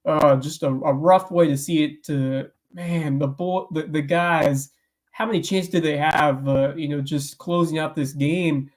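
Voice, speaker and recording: A man's voice: fairly high pitch, steady tone, unhurried. A man co-hosting a local sports podcast, recapping games with emphatic enthusiasm. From South Carolina. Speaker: podcast co-host. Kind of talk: sports commentary chat.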